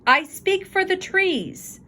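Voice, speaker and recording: A woman reading a storybook aloud, voicing a bossy, indignant little old male forest creature with a sharp, emphatic delivery. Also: extremely high pitch, lively intonation, slow pace, pitched above the performer's own voice, heavily acted. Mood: scolding. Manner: bossy, emphatic, pleading outbursts.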